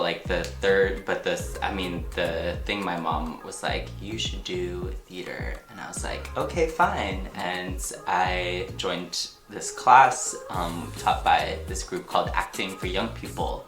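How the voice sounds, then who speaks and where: An actor and artist speaking casually and haltingly in an interview, telling personal stories with frequent filler words. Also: sits low, lively intonation, a bit bright. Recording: echoey room with music underneath. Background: music.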